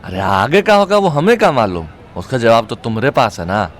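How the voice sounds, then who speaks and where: A man's voice: medium pitch, big pitch swings, a little fast, slight background noise, close to an everyday voice. An actor playing a crude, joking young male sidekick in an audio drama, teasing and exclamatory. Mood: flustered. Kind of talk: Hindi audio comedy-horror drama acting.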